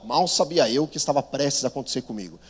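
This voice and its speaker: A man's voice: medium pitch, animated, quick pace, breathy edge. A man in his thirties from São Paulo with a Paulista accent, a missionary preaching in a chatty, self-deprecating way. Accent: Paulista Brazilian Portuguese. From São Paulo, Brazil. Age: 30s. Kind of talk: conversational sermon storytelling. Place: large church hall. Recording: long reverb of a church hall.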